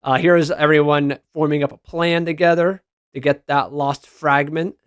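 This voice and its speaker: A man's voice: a little high, steady tone, moderate pace, clean tone, no room sound, clean studio sound. A man talking casually and enthusiastically as a reviewer, with informal, gushing fan commentary on a video game.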